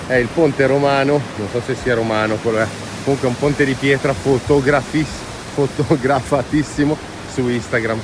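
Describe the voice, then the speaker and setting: Enthusiastic man vlogging outdoors. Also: mid-range voice. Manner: enthusiastic, exclamatory, informal and slangy. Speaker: hiking vlogger. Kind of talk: casual vlog chat while walking outdoors. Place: outdoors on a mountain trail. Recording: outdoor noise, ambient hiss.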